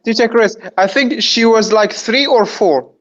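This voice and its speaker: High-voiced young man in his twenties. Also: a bit bright, lo-fi sound. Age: 20s. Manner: halting, repeats words, playful teasing. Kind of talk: casual group chat.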